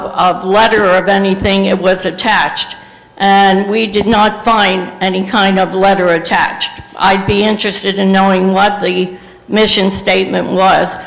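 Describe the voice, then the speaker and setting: An older man holds a handheld mic at a community board meeting, pausing often with "uh" and "um" as he works through dates. His voice sits high and gravelly. The meeting room rings with a long echo. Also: a bit bright. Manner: halting, many fillers, reads off dates. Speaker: resident making public comment. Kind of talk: public comment at a board workshop. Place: meeting hall. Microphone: handheld microphone. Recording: echoey hall, long reverb.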